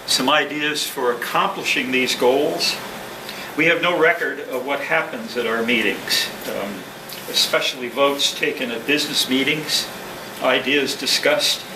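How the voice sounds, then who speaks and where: A man speaking as a club president, giving a prepared address to a meeting in a halting, measured, formal way. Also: medium pitch. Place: indoor meeting room with ventilation hum. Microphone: distant room microphone. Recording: distant mic, echoey room, clear background noise.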